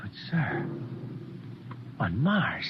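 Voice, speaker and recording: A man's voice: lowish voice, huge pitch swings, extremely slow, heavily acted. Junior space crewman, noisy recording. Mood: subdued. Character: deferential, hesitant male junior crewman. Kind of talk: old-time radio drama acting. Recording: dull, clearly noisy old radio recording.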